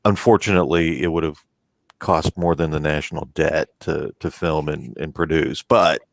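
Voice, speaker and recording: A man's voice: a bass voice, fairly even intonation, moderate pace, vocal fry, no room sound, studio quality. A man co-hosting a geek culture panel in a casual, conversational style. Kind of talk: casual chat.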